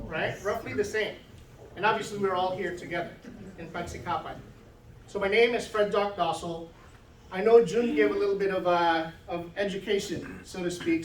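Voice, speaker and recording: A man's voice: high-pitched, a little hiss or hum. Middle-aged man talking in an echoey room. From Philippines. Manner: conversational, often asks "right?". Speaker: guest speaker. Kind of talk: informal talk with slides. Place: event room. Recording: echoey room, mic from far off.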